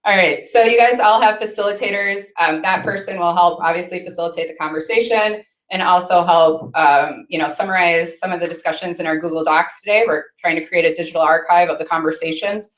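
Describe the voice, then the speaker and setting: A woman's voice: lowish voice, fairly bright tone, dull audio. A woman opening a conference workshop, chatty and casual with many ums, speaking into a handheld mic in a meeting room. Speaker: conference session host. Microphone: handheld mic with faults.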